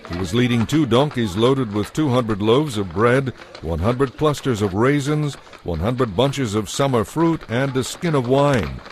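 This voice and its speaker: A man's voice: mid-range voice, lively intonation, measured pace, hardly any pauses, a little hiss or hum. A man narrating a dramatized Bible story from a script.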